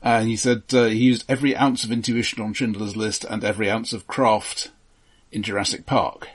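A middle-aged man co-hosting a film podcast, giving measured opinions in casual talk and often repeating words as he starts. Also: fairly low pitch, flat delivery, breathy edge.

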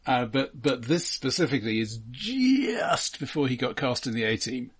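A man's voice: medium pitch, sing-song, normal speed, slightly rough. A man co-hosting a casual film discussion with wry, dry humour. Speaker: film podcast co-host.

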